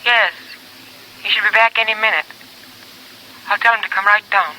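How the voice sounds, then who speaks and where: An actress playing a polite, helpful office secretary in a 1950s radio drama. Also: female voice, mid-range voice, fairly even intonation, normal speed, close to an everyday voice. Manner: polite, obliging. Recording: very noisy old radio recording.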